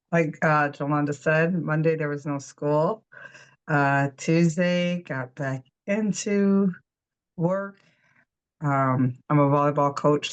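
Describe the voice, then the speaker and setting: A woman's voice: low-pitched, muffled recording. A woman in her fifties, a volleyball coach, talking casually and unhurriedly, with many fillers. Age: 50s. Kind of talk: casual chat.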